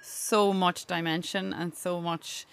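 A young Irish woman chatting as a podcast guest, animated and emphatic, laughing often. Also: on the low side, slow pace, vocal fry, soft background music. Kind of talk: casual chat.

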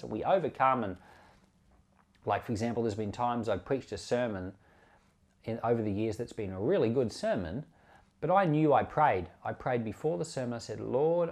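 Expressive male preacher, informal and earnest. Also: medium pitch. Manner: conversational and earnest, repeats and rephrases for emphasis. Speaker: preacher and Bible podcast host. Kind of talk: informal sermon commentary on scripture.

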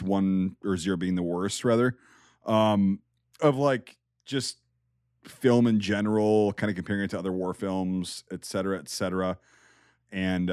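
Male podcast host, blunt, swears a lot, mid-pitched voice, dry close sound. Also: darkish tone, dry recording. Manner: profane, blunt, keeps the show on track. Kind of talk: casual podcast chat.

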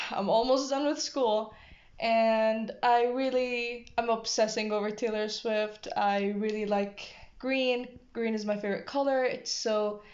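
Giggly teen girl podcast cohost, bit high pitched, dull lo-fi recording. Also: steady tone, a little mellow. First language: Arabic. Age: teens. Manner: giggly, gushing, quick bursts. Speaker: podcast co-host. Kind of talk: casual chat. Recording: dull lo-fi audio.

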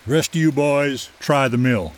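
A man's voice: mid-range voice, a little slow, natural voice. A man playing a slight old logging camp boss who gives curt, no-nonsense orders in an audio drama. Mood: brusque, commanding. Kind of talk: screenplay audio drama acting.

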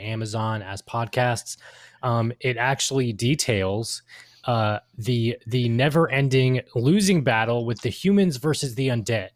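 A man co-hosting a podcast, discussing lore casually in a halting, hesitant way. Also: medium pitch, no room sound. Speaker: podcast co-host.

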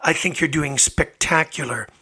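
A man with a slightly raspy voice, chatting informally as the host of a live radio interview show. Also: fairly high pitch, moderate pace, pushed and bright. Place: radio studio. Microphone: studio microphone.

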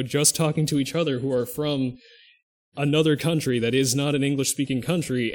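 Guy cohosting a podcast, middle pitch, kinda rambly, keeps backtracking mid sentence. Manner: rambling, thinks aloud, restarts and self-corrects often. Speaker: podcast co-host. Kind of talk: casual podcast chat.

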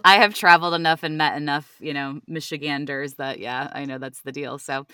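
A woman's voice: low voice, steady tone, brisk, bright, forceful tone, clear, resonant tone, no echo, clean studio sound. A woman from Cleveland, Ohio, hosting a Bible podcast, friendly and affirming with easy laughs. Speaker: podcast host. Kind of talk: casual interview on a Bible podcast.

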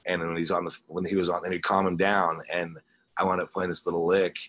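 A man with a low voice speaks as a guest on a music interview. He is laid-back and conversational, leaning on "you know" as he goes. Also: flat delivery, fast talker, fairly bright tone. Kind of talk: casual chat, personal anecdotes.

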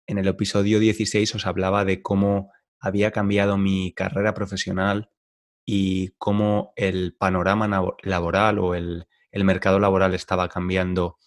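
A man hosting a podcast for learners, speaking in a clear, measured way. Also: low-pitched, completely flat delivery. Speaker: podcast host and Spanish coach.

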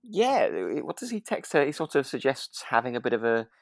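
A man's voice: mid-range voice, very animated, brisk, creaky, no echo, clean studio sound. British man, closely recorded, chatting tentatively. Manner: tentative, hedging. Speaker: podcast co-host. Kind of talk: relaxed casual chat.

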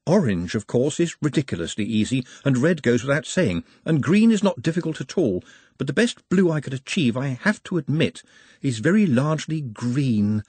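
A meek male orc from a storybook, voiced by a male narrator, speaks in careful, formal, wordy phrases. He sounds earnest and sorrowful, slightly husky, recorded close and dry. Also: mid-range voice, big pitch swings, moderate pace, darkish tone, dry recording, voice pushed up. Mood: wistful. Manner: precise, wordy, mournful.

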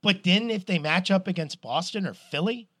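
A middle-aged man co-hosting a podcast, telling stories in an animated, swearing, casual way. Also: high voice, moderate pace, no room sound, studio quality. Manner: animated storyteller, swears, restarts a lot. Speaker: podcast co-host. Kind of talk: casual sports chat.

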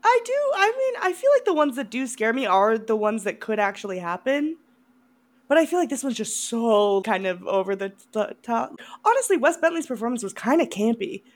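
A woman's voice: a little high, very animated, moderate pace. A woman co-hosting a podcast in casual chat, with a teasing, drily sarcastic way of talking. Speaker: podcast co-host. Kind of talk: casual chat about horror movies.

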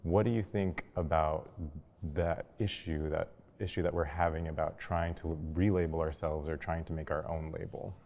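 Deep-voiced man hosting, heavy room reverb. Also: steady tone, normal speed, vocal fry, a little mellow. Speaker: panel host. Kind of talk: conversational discussion moderating. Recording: long hall-like reverb.

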